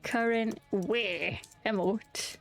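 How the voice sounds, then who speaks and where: A woman's voice: mid-range voice, extremely slow, creaky, faint music behind. A young woman streaming a game, chatting candidly and swearing freely, often restarting her sentences as she rambles. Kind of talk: casual chat while streaming a game.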